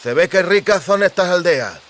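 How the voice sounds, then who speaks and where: A man's voice: sits high, animated, moderate pace, a bit bright, pitched above the performer's own voice. A man voicing a greedy, mocking brigand with a lisping, lip-smacking delivery in an audiobook. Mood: gloating. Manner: mocking, menacing. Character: greedy lisping male brigand, lecherous and smirking.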